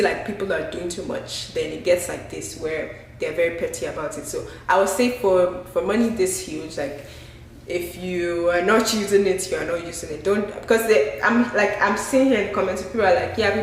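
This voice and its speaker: A young Nigerian woman with a Nigerian accent, chatting casually as a vlogger and gesturing as she talks. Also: lowish voice. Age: young adult. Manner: chatty, gestures while talking. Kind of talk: casual commentary. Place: indoor room. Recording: echoey room with faint noise.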